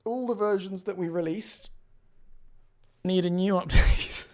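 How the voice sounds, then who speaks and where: A man's voice: high voice, measured pace. A man hosting a livestream as a game mod developer, talking casually and muttering to himself as he works through problems. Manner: thinking aloud, muttering to himself. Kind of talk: casual livestream talk while troubleshooting.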